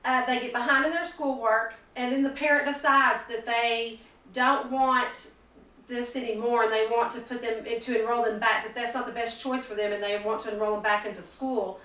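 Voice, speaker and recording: A woman giving a persuasive speech for a class, earnest and halting, often restarting her sentences. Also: a little high, steady tone, pushed and bright. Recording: echoey room, mic far off.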